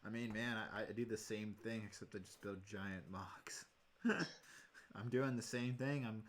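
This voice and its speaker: A man hosting a casual chat, easygoing and quick to agree with his guest. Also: fairly low pitch, slight background noise. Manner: relaxed, agreeable, affirming. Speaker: host.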